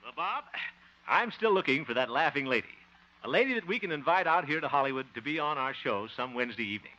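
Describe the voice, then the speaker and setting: A man's voice: mid-range voice, expressive, pushed and bright. A man playing a bumbling, good-hearted fellow in a 1950 radio sitcom, sentimental and prone to chuckling. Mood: jovial. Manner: sentimental, chuckling, drawn-out delivery. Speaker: lead comic actor and show host. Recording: muffled noisy 1950 radio broadcast.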